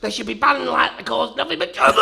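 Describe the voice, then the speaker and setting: A performer playing a nagging, screechy elderly landlady in a comic radio sketch, scolding loudly. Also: extremely high pitch, creaky, heavily acted.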